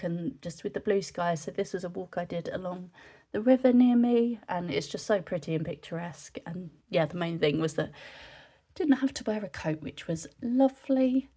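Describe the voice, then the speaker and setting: A young woman from the UK talks through her scrapbook pages in a relaxed, chatty voice-over, full of little ums. She has a cold, so her voice sounds a bit nasal, and it sits a touch low. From United Kingdom. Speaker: scrapbooking vlogger. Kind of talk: casual voice-over narration.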